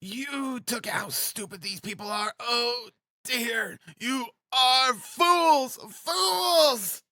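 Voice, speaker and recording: A man voicing a frantic, defiant, impoverished widow in an audiobook, wailing and fiercely protective with drawn-out dramatic cries. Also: fairly high pitch, animated, creaky, bright, forceful tone, dry recording, clean studio sound, pitched above the performer's own voice, full character voice. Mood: fierce protectiveness. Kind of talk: dramatic audiobook character acting.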